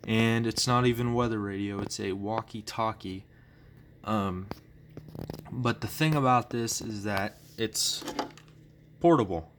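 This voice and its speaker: A man's voice: on the low side, a little hiss or hum. A man giving a casual show-and-tell review as a hobbyist, in a rambling, hesitant way, recorded on a phone. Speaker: hobbyist collector presenting items. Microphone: phone, handheld or propped nearby.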